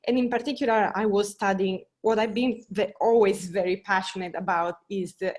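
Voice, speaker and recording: A woman's voice: medium pitch, a little mellow. Italian-accented woman, earnest and searching. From Italy. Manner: earnest, searching, many fillers and restarts. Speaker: scientist panelist. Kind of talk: career talk on a panel.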